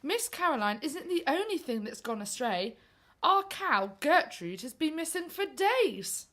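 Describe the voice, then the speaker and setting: Woman as Buttons, a high, playful pantomime lad. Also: very animated, measured pace, fairly bright tone, full character voice. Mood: chatty. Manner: playful, addresses the audience. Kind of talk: pantomime radio play acting.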